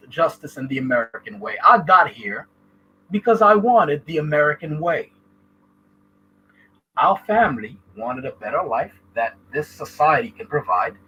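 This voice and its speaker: A man's voice: a little high, a bit bright, breathy edge, dull audio. A man from Haiti hosting a livestream, giving emphatic opinion commentary and stopping often to ask "right?". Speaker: channel host.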